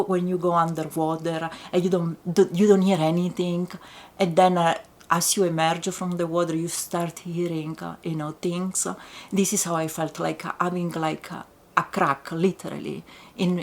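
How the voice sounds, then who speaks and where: A woman with an Italian accent, a native Italian speaker, talking reflectively in an interview with halting, searching phrasing and many fillers. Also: on the low side. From Italy. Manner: halting, full of pauses and fillers. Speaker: writer and teacher.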